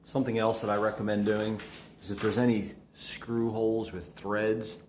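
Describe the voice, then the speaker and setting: A man with a slight sniffle from a cold, talking in a soft-spoken, rambling, self-correcting way as he explains a paint job step by step in a house. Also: fairly low pitch, flat delivery, moderate pace. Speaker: DIY restoration vlogger. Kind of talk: casual how-to narration while working. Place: living room of a house, working on a frame. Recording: echoey room, far from mic, noisy.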